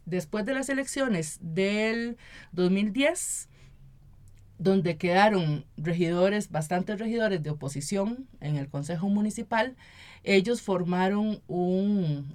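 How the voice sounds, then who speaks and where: A Costa Rican woman speaking as an interview guest in an earnest, deliberate, formal explanatory way. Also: mid-range voice, a little mellow. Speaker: interview guest, activist and municipal representative. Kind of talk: radio interview, explanatory talk.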